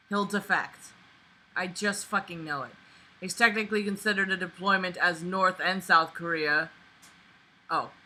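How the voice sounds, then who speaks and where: A woman's voice: mid-range voice, pushed and bright, rough recording. A young woman reading a book aloud casually with sarcastic, exasperated, sweary running commentary. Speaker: online book reader and commentator.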